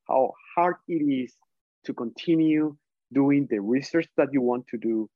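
A man speaks English with a Colombian Spanish accent, Spanish being his first language. He answers at an unhurried, reflective pace, often pausing with fillers and restarting phrases. The audio is somewhat dull and lo-fi, with no room sound at all. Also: a little high, no echo. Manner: thoughtful, hesitant, many restarts and fillers. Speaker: professor, guest. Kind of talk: conversational interview answers.

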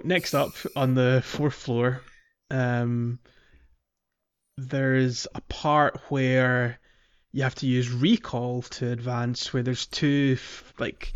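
A man hosting a podcast, talking casually and haltingly through a video game walkthrough, thinking aloud as he goes. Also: medium pitch, clear, resonant tone, highs cut off. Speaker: podcast host.

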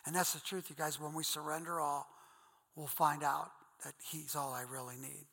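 A pastor with an Ohio accent delivers a sermon in a bright, ringing voice. He talks to the congregation in a friendly, earnest way. His voice carries with a long reverb, as in a church hall. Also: a little high, little pitch movement, moderate pace, gravelly. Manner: earnest, conversational preaching, addresses listeners as guys.

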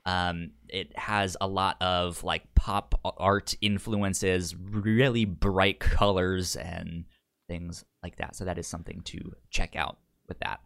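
Slow-talking, stammering man, lowish voice. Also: fairly even intonation, gravelly, a bit bright, no room sound, studio quality. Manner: stammers, repeating words and syllables. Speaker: podcast co-host. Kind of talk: casual movie podcast chat.

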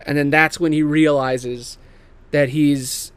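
A man giving a casual, semi-improvised story pitch as a host, emphatic and theatrical, swearing casually and dropping into offhand asides. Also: steady tone, slow, clean tone, dry recording. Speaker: host pitching video game movie adaptations.

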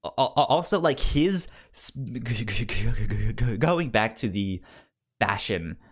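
Male podcast host, medium pitch, conversational and halting, with frequent repeated word starts. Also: expressive, slightly rough. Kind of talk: casual chat about comics.

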